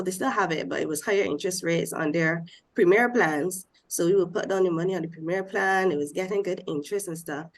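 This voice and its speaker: A woman from Barbados sounds a little nasal and stuffed up from a cold. Her voice sits at an ordinary middle pitch. She walks through her experience steadily and step by step, like a panelist. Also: creaky. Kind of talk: panel discussion.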